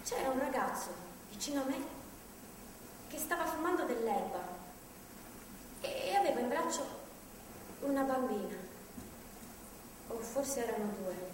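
Nervously cheerful woman visitor, high voice, rambling stage chatter, distant hall recording. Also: expressive, a little slow, long pauses. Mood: chatty, wry. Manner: chatty, rambling, hesitant asides. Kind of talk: live stage acting, monologue-like chatter. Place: theatre stage, picked up from far off. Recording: distant hall recording, echoey, faint noise.

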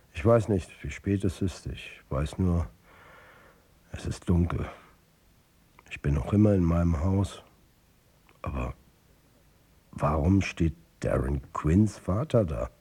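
An actor playing an embattled football coach who narrates his own downfall in a radio drama, tersely and bitterly, with a husky voice. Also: deep voice, dark tone, full character voice. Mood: despondent. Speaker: first-person narrator.

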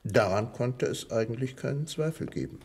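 Casting: grave country doctor, low husky voice, dramatic storyteller with swooping intonation. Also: male voice, lively intonation, darkish tone. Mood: sombre. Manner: deliberate, dramatic pauses, measured storytelling. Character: grave village doctor confessing old secrets. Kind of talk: German radio crime play acting.

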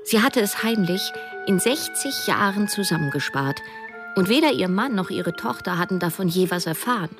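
A woman's voice: medium pitch, lively intonation, moderate pace, over music, close to an everyday voice. An actress playing a young woman who narrates a radio drama, probing and direct with a wry edge. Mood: reflective narration. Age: young adult. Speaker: first-person narrator.

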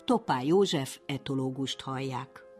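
A woman's voice: extremely low pitch, soft background music. A woman, presenting a radio programme with a scripted introduction.

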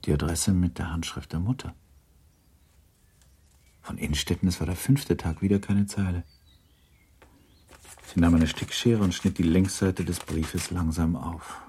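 A man narrating a literary radio play in a grave, measured, restrained manner. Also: sits low, fairly even intonation, warm tone, breathy edge, exaggerated voice. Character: grave, restrained narrator of a 19th-century novel.

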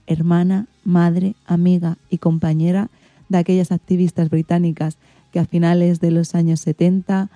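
A woman's voice: on the low side, dark tone, no echo. A woman with an accent from Spain, hosting a radio programme with scripted presenting. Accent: Spanish (Spain). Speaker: radio host.

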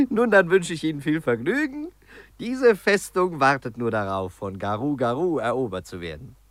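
A man's voice: on the high side, expressive, moderate pace, natural voice. A man acting in a 1950s German radio play as a worldly artist, a teasing and theatrical confidant. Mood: amused, grand.

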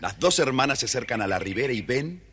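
A man's voice: medium pitch, sing-song, quick pace, sharp tone. A man narrating a scripted children's story as the storyteller. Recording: faintly noisy old storybook record.

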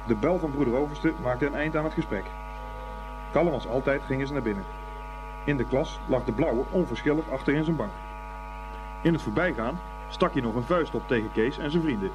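A man's voice: medium pitch, noisy background. A man narrating a scripted story as the narrator of a radio play. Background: music.

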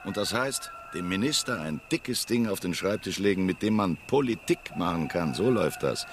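A man's voice: low-pitched, animated, moderate pace, a little hiss or hum. An actor playing a blunt, insistent police messenger in a naturalistic radio play, talking coarsely and pressing his point.

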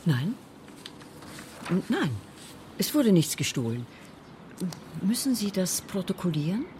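An actress playing a lonely, anxious, defensive woman in a radio crime drama, speaking haltingly yet insistently. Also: mid-range voice, big pitch swings, darkish tone, slight background noise.

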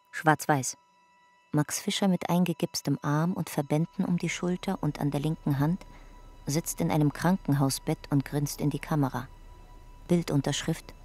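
A woman's voice: sits low, mellow. A woman narrating a radio drama in a clipped, matter-of-fact, detached manner. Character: detached female narrator reading out archival photographs.

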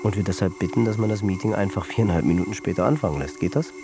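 Anxious low-voiced business manager. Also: male voice, very fast. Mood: tired, absorbed. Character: anxious jet-set business manager losing his grip. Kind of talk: radio drama monologue. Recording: music behind the voice.

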